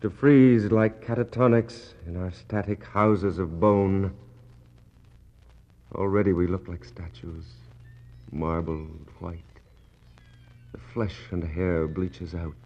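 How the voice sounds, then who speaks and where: Doomed expedition officer: starving, resolute, very slow and creaky, halting poetic radio-drama monologue. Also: male voice, low-pitched, fairly even intonation, a little mellow. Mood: desperate resolve. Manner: slow, incantatory, halting delivery. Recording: muffled, noisy 1960s radio recording.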